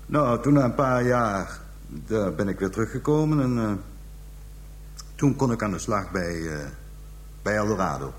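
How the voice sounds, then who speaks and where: A man's voice: lowish voice, animated, a little hiss or hum, lightly acted. Een man als kille, grofgebekte pooier met lage stem. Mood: halting, matter-of-fact. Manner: halting, hesitant storytelling, coarse slang. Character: callous Dutch pimp and trafficker confessing to police. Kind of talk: radio drama acting, interrogation scene.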